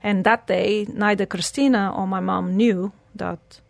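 Woman, 40s, earnest storyteller, gravelly voice, dry close sound. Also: mid-range voice, fairly even intonation, measured pace, no echo. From Portugal. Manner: earnest, storytelling, slightly broken English phrasing. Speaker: guest. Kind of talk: personal life story in an interview.

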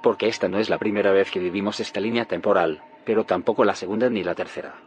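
An actor playing a grave, secretive scientist in an audio drama, solemn and explanatory. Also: male voice, on the low side, steady tone, fast, dull audio, a little hiss or hum, natural voice. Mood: confessional. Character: grave, secretive male scientist haunted by failed timelines. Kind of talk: audio fiction drama acting.

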